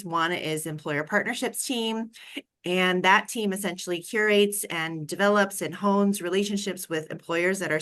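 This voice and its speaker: A woman's voice: on the low side, sharp tone. A woman moderating an online panel as a career coach, speaking to an audience and to panelists.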